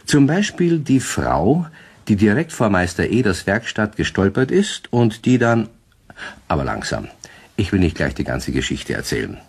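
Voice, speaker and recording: A man's voice: fairly low pitch, sing-song, normal speed, husky, heavily acted. An actor as the avuncular narrator of a children's radio play, chatty and teasing in his storytelling. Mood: wry, storytelling.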